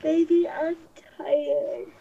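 A woman's voice: sits high, little pitch movement, very slow, gravelly, mellow, exaggerated voice. A woman playing a plaintive, needy girlfriend in an amateur ASMR couple roleplay. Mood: tired, clingy. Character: whiny, clingy girlfriend in pain or tired. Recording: muffled lo-fi playback of video.

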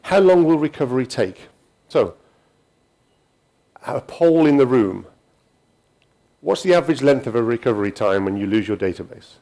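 A man's voice: medium pitch, animated, warm tone. Middle-aged guy giving a tech talk on stage, lots of ums and restarts. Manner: halting, many ums and restarts. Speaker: conference speaker. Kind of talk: technical conference talk. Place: conference hall.

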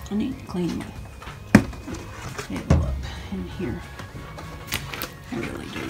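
A woman's voice: animated, unhurried, loud music behind the voice. An older woman talking to her dogs and chickens while doing morning chores in the backyard, filming on a handheld phone. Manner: talks sweetly to dogs and chickens in a high sing-song. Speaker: vlogger. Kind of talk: casual narration while doing farm chores. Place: backyard and shed at night, outdoors. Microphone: handheld phone camera.

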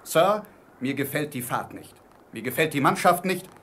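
Strenger, entschlossener Kapitän mit Nebengeräuschen. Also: male voice, fairly high pitch, lively intonation, fairly bright tone, a little hiss or hum. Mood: grave, firm. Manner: formal, firm, measured. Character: stern, blunt, determined male ship's captain. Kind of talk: German radio play acting.

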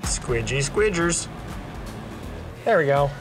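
A man's voice: fairly high pitch, sing-song, slow, music in the background. A man in his thirties hosting a casual how-to video, chatty and joking, filmed in a garage and outside a house. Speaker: DIY video host. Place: garage and outdoors beside a house.

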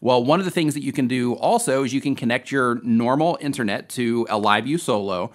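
Lively, animated male tutorial host. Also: mid-range voice. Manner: upbeat, lively, emphatic explainer. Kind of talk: scripted Q&A tutorial to camera. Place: small dark studio indoors.

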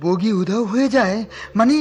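A male actor plays a hurried traveler waiting for a train in a radio drama. His voice is notably high for a man and carries a Bangladeshi accent. He sounds bewildered and questioning, forever incredulous. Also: natural voice. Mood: astonished. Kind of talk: Bengali radio drama acting.

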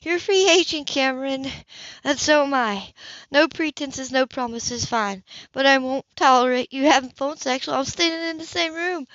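A woman's voice: high-pitched, moderate pace, fairly bright tone, no room sound, pitched above the performer's own voice. A woman voicing a furious, jealous, proud woman scolding her lover in an audiobook, indignant and cutting. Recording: dull lo-fi recording.

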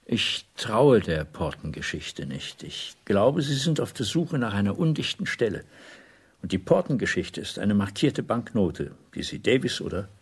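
Weary middle-aged male spy, low, very husky and breathy, conscience-torn, radio drama. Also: sing-song, moderate pace, full character voice. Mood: guarded, serious. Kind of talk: intimate German radio play acting.

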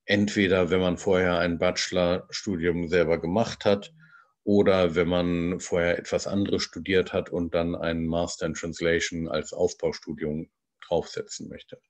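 Bilingual male lecturer, deep voice, measured and explanatory, recorded on a video call from home. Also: flat delivery. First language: bilingual German and English. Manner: measured, explanatory, with frequent hesitations. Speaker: translator giving a talk. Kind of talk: online lecture over slides.

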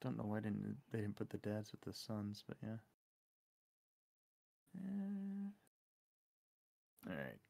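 Lively, sweary livestream co-host. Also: mid-range voice, slow, vocal fry, pauses often. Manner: informal, sweary. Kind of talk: casual livestream chat.

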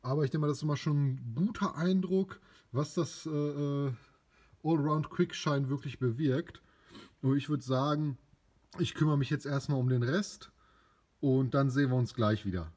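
A young man hosting a casual product review in his home garage, relaxed and chatty with frequent fillers. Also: mid-range voice, normal speed. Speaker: car care channel host. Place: small home garage, indoors.

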